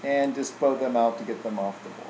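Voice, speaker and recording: A man walking through software in an informal, casual tutorial style, like a trainer showing someone the steps. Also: medium pitch, fairly quick. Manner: casual, informal, tags statements with "right?". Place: indoor room, screen recording voice-over. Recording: roomy echo, faint air-conditioning hum.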